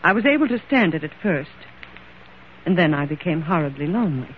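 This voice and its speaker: An actress playing a lonely, discontented wife in an old-time radio drama, restrained and wounded in delivery. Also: female voice, low voice, animated, fairly quick. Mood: bitter, wounded. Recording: tinny, noisy old radio recording.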